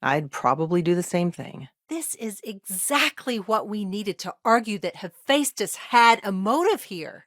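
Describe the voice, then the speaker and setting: A woman playing an earnest, overworked lawyer in a video game, speaking in a measured, matter-of-fact, conversational way with dry wit. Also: mid-range voice, no echo, lightly acted. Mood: sincere, measured. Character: earnest, overworked female frontier lawyer, dry-witted and principled. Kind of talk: naturalistic video game dialogue acting.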